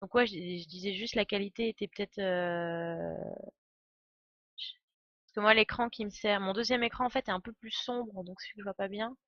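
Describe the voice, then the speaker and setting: A woman's voice: medium pitch, fairly even intonation, normal speed, a bit bright, no echo. Playful woman streamer on a dull, dry mic. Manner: playful, joking, slangy. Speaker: game streamer. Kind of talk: casual live game commentary. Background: faint video game music and dialogue.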